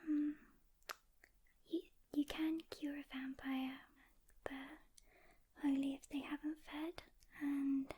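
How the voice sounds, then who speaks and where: A woman playing a calm, weary demon hunter mentoring a newcomer in a gentle, hesitant whisper, as soft-spoken ASMR roleplay. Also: sits high, slow pace, pauses often. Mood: wistful, subdued.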